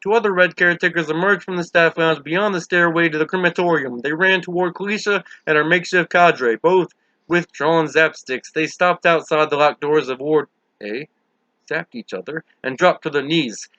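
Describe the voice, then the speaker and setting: Male audiobook reader, slightly high and forceful, dramatic scripted delivery, dry lo-fi sound. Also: moderate pace, no echo.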